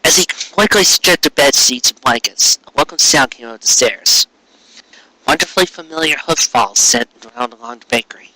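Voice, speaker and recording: Male audiobook-style narrator, bright forceful tone, dramatic storytelling, very dry close sound. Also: medium pitch, animated, moderate pace, no echo, poor audio. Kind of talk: expressive scripted fiction narration.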